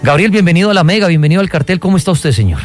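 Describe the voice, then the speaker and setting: A man's voice: high-pitched, lively intonation, very fast, soft background music. A man hosting a conversational radio interview, speaking colloquially and often tossing in short questions.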